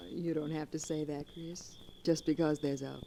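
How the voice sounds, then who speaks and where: An actress playing a vain, yearning young woman in a 1940s radio drama, breathy and sighing. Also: sits low, little pitch movement, unhurried, warm tone, a little hiss or hum. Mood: lovestruck.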